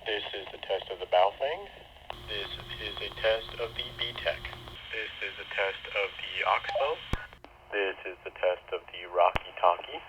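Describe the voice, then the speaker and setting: A man's voice: lowish voice, moderate pace. A man making short test announcements, heard through a walkie-talkie radio. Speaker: product tester. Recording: noisy walkie-talkie speakers picked up by mic.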